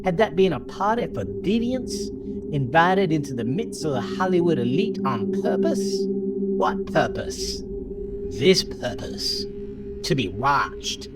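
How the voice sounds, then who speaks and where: A man narrating a horror story in a dramatic, theatrical style, with emphatic phrasing and deliberate pauses. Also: on the high side, very animated. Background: music.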